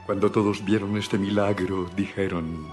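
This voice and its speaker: A man reading scripted Bible narration with solemn, deliberate phrasing. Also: sits low, fairly even intonation, a little mellow. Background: background music.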